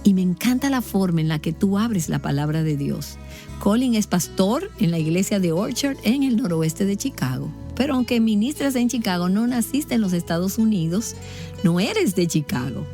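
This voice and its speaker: A woman hosts a podcast interview, chatting in a friendly, conversational manner. Her voice is mellow and warm at a middle pitch. Light music sits faintly behind her. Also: expressive, moderate pace. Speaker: podcast host. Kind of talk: interviewing a guest.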